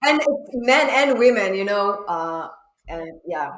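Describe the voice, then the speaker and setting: A woman's voice: medium pitch, expressive, measured pace, slight background noise. A woman chatting casually in a blunt, outspoken manner. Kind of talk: casual chat. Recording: echoey room sound.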